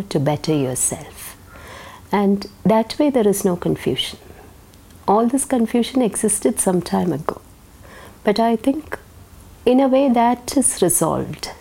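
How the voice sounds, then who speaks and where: A woman speaking in a reflective, deliberate way in an interview. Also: medium pitch, creaky, mellow, slightly rough.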